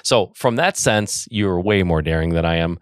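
A man's voice: low voice, animated, moderate pace, no echo, clean studio sound. A middle-aged man from Michigan hosting a podcast interview in an upbeat, chatty way with many fillers. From Michigan, USA. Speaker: podcast host. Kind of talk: casual interview.

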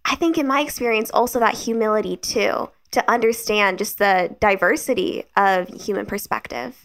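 A woman's voice: medium pitch, moderate pace, fairly bright tone. A woman hosting a podcast interview and responding in an affirming way. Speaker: podcast host.

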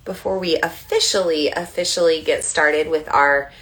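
Woman, lowish voice, a bit stuffy-nosed, gentle, guiding livestream host. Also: fairly even intonation, normal speed, poor audio. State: a little stuffy from allergies. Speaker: moon priestess hosting a live online circle. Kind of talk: casual livestream with guided breathing. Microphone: livestream camera mic.

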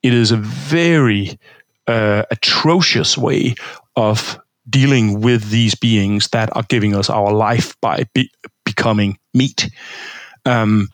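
Halting, thoughtful Danish-raised man. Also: lowish voice, dry recording. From Denmark. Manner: thoughtful, halting, many fillers and restarts. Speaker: guest, historian of religion. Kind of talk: conversational interview answers.

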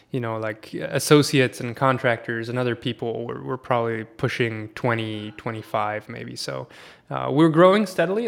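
A man's voice: medium pitch, creaky, fairly bright tone. A man raised in Sweden, a podcast guest talking casually and at length, with many fillers and restarts.

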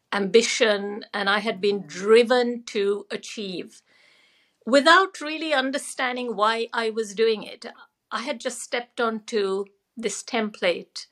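A woman's voice: fairly high pitch, a bit bright. A middle-aged woman from Sri Lanka, telling her personal story conversationally as a podcast guest. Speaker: podcast guest, former doctor and wellness coach.

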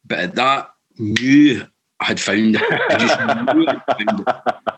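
A middle-aged man with a Scottish accent, telling his story as a guest in an earnest, halting way with many restarts. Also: mid-range voice, expressive, fairly bright tone, husky. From Scotland. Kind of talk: casual storytelling.

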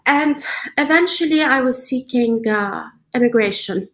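A woman in her thirties who grew up in the UAE, a designer answering interview questions in a relaxed, conversational way with frequent fillers. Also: sits high. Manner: conversational, many fillers, measured pace. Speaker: guest, designer.

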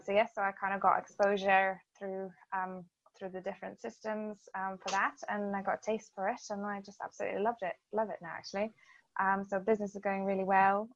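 A woman from Lithuania with a Lithuanian accent and native language, answering interview questions hesitantly, with many filled pauses. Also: medium pitch, no echo, lo-fi sound. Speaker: guest, events marketing business owner. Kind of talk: casual interview chat.

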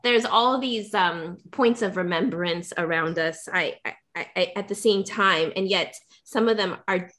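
A woman's voice: fairly low pitch, a little slow, a bit bright. Woman host, loose and searching delivery, many restarts. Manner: rambling, searching, laughs often. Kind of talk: conversational discussion.

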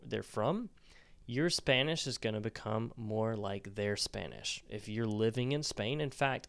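A man's voice: on the low side, fairly bright tone, smooth, dry recording. A young man hosting a language-learning podcast, talking casually and encouragingly with an occasional restart. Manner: conversational, encouraging, restarts mid-word. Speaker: Spanish-learning podcast host. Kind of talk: casual solo podcast advice.

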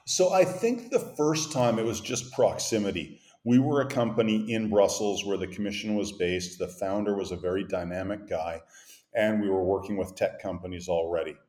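Deep-voiced canadian guy, middle-aged, talking through his career calmly, roomy echo. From grew up in Montreal, born in Boston. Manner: measured, articulate storyteller with occasional fillers. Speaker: guest, company founder and CEO. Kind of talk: interview conversation. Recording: echoey room.

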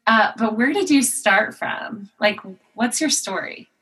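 A woman's voice: a little high, animated, pushed and bright. A woman hosting a podcast interview, enthusiastic and gushing, stopping and restarting often. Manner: enthusiastic, gushing, halting with fillers. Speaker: podcast host.

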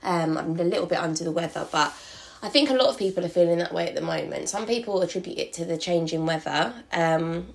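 A young woman talking through her own podcast in a loose, rambling way, dotting her sentences with ums and likes. Her voice sits low, with a gravelly, croaky edge from a sore throat. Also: fast. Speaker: podcast host. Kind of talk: casual solo podcast chat.

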